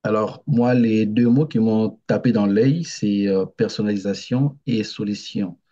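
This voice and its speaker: A man's voice: lowish voice, fairly even intonation, a little slow, mellow. A man who works as an adult education trainer, introducing himself in an online meeting with frequent hesitations. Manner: hesitant, many fillers. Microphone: computer mic on video call. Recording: dull video-call audio.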